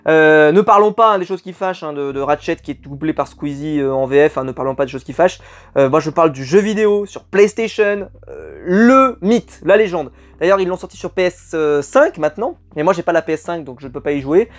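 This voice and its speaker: A man's voice: fairly high pitch, animated, fairly quick. A man chatting to camera as a collectibles vlog host, enthusiastic and rambling, with many hesitant fillers. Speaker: YouTube collector channel host. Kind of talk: casual unboxing chat to camera.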